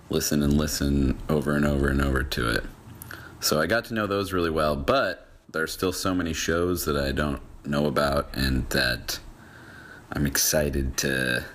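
Deep-voiced, slow-talking man as guest. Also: a bit bright. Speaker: podcast guest. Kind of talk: casual reminiscing chat.

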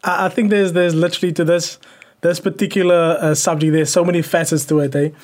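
A man's voice: on the high side, flat delivery, a little fast, a little mellow. A man from South Africa leading a casual chat, talking conversationally with frequent tag questions and fillers.

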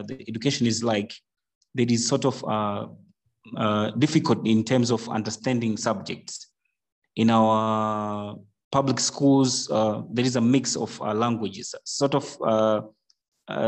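Low-voiced man. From Tanzania. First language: Swahili. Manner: halting, searching for words. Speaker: guest, charity team member. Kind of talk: interview answer.

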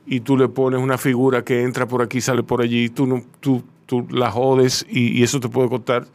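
A man's voice: medium pitch, little pitch movement, normal speed, darkish tone. A man with a Dominican accent hosting a casual radio chat, emphatic and prone to repeating his phrases. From Santo Domingo, Dominican Republic. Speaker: radio interview host. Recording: faint background noise.

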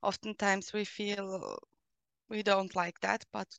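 A woman from Austria with an Austrian German accent, answering interview questions slowly and hesitantly, with frequent fillers, explaining her coaching work. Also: mid-range voice, flat delivery, gravelly, fairly bright tone, no echo, dull audio. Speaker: guest, coach who helps children and adults.

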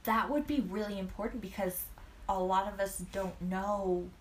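A woman chatting casually on a podcast, at an ordinary mid pitch. She tells stories with animated bursts and laughs often, over a faint hiss of background noise. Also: normal speed, low-quality recording. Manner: giggly, animated storytelling with frequent laughs. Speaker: podcast co-host. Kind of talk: casual chat.

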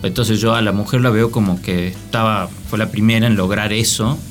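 A man's voice: fairly low pitch, steady tone, fairly quick, soft background music. A man with an Argentine accent in casual, humorous conversation as a radio show panelist. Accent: Argentine Spanish. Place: radio studio with music behind.

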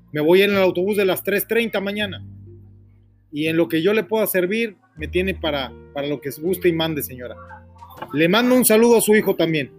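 Un hombre de mediana edad con acento mexicano y voz bastante aguda conduce un programa de radio en vivo. Habla con seriedad, da vueltas y repite sus frases. Se oye una música suave de fondo. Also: male voice, sits high. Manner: earnest, rambling and repetitive. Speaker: radio host. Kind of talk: live radio call-in talk.

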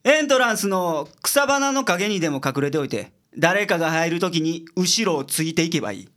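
A man's voice: on the high side, very animated, a little fast, a bit bright. Guy playing a kansai detective in a radio drama, blunt n logical, voice swings up and down a lot. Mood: composed, explaining. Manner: explanatory, blunt with his juniors. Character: gruff, logical male private detective, secretly soft-hearted.

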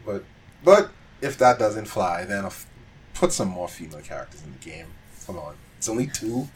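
A man's voice: low voice, sing-song, moderate pace. A man in a casual podcast chat about games, thinking aloud hesitantly as he talks. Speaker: podcast panelist.

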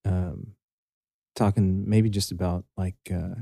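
A young man co-hosting a podcast, speaking thoughtfully and hesitantly, with a soft manner. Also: deep voice, little pitch movement, slow, creaky, no room sound, studio quality. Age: young adult. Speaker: podcast co-host. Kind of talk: casual chat.

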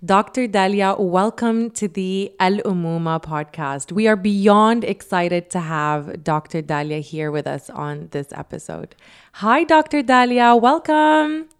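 A woman's voice: mid-range voice, lively intonation, unhurried, smooth. A woman co-hosting a podcast, effusive and upbeat as she addresses listeners and guests. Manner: effusive, enthusiastic. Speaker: podcast co-host.

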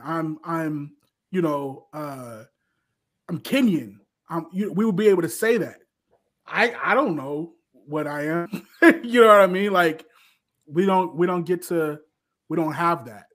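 A man's voice: fairly high pitch, a bit bright. An American man talking casually as a guest, repeating phrases as he thinks aloud. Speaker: guest on a livestream. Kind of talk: casual, conversational reflection.

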